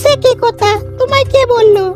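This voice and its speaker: A woman voicing a brisk, chatty, scolding village wife in a children's cartoon. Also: very high voice, steady tone, over music. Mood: hurried. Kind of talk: Bengali children's cartoon dialogue.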